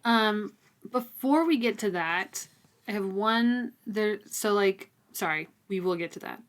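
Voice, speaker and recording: A woman's voice: medium pitch, expressive, a little slow. A woman in her thirties with an American accent, co-hosting a casual book chat and explaining things in order. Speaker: podcast co-host.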